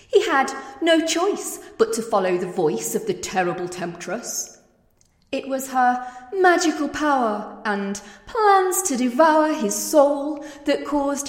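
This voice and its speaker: A woman performing spoken-word poetry with rhythmic, dramatic and pointed delivery. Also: mid-range voice. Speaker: poet. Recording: echoey room with long reverb.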